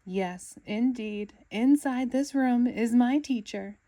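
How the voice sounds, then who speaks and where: An earnest young cartoon sponge, played by a woman reading a storybook, speaking in a raised pitch. The delivery is dramatic and full of wide-eyed reverence, eager and easily excited. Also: slow, no room sound. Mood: awed, reverent.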